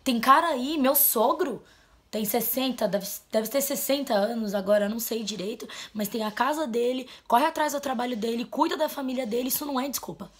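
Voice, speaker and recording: A young woman, talking into a camera in a small bedroom. Her voice sits a bit high, and she is blunt and emphatic. She drops low, then turns loud to drive a point home. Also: a little fast, slightly rough. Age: 20s. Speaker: vlogger. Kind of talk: heartfelt vent to camera. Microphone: camera mic at close framing.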